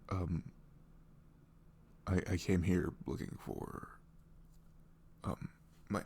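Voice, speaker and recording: Casting: male actor as nervous, sorry young ex-boyfriend for ASMR roleplay; very low, soft voice, slow halting delivery. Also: little pitch movement, vocal fry, slightly rough, full character voice. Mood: apologetic, hesitant. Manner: hesitant, halting, trailing off. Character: awkward apologetic young ex-boyfriend, a college student. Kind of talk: intimate ASMR audio roleplay.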